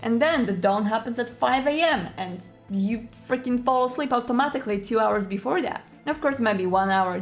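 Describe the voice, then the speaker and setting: A woman presenting tips in an explanatory video monologue, in a conversational manner. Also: on the high side.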